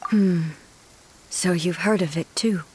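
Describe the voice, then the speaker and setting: A woman voicing a regal, solemn female goddess ruler in a video game, speaking in a formal, measured, grave way. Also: lowish voice, a little mellow, a little hiss or hum, low-quality recording. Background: faint game music.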